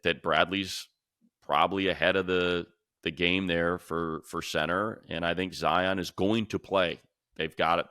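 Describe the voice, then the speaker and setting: A man's voice: sits low, bright, forceful tone, dry recording, studio quality. A man hosting a sports talk show, casually analysing in an emphatic, conversational way and repeating points for stress.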